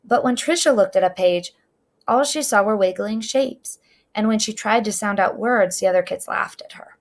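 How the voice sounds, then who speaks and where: This is a middle-aged woman reading a picture book aloud. Her medium-pitched voice has a noticeable creak, and she phrases the story deliberately and dramatically for young listeners. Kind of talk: expressive children's read-aloud narration.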